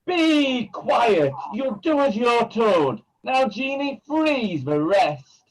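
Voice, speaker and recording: A man's voice: very high-pitched, big pitch swings, full character voice, poor audio. A man playing a menacing, gloating sorcerer villain in a rhyming pantomime. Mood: commanding. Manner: slow, swooping, menacing.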